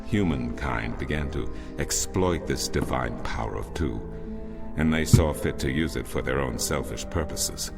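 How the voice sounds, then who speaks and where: A very deep-voiced, solemn older priest recounts ancient lore with grand, measured gravity. Clear music plays behind this fantasy game figure. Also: male voice, exaggerated voice. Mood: reverent. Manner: measured, portentous, formal. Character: solemn older male temple priest, a storytelling loremaster. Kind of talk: grand scripted fantasy narration.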